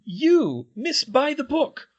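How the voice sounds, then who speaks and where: A man voicing a cheeky teenage boy wizard with teasing, animated banter. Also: extremely high pitch, takes it slowly, pitched above the performer's own voice, full character voice. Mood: teasing, amazed. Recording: dull lo-fi recording.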